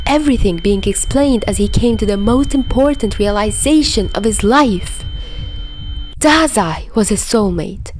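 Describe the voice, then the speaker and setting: A woman reading scripted fiction as a dramatic narrator, often letting phrases fall into a hushed tone. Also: medium pitch, dry recording. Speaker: podfic narrator.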